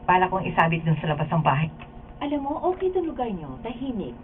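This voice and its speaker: A woman's voice: fairly low pitch, very animated, fast talker. A woman with a Filipino accent playing an admiring, sociable house guest in a radio drama rehearsal. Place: radio recording studio. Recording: tinny, band-limited studio footage with faint noise.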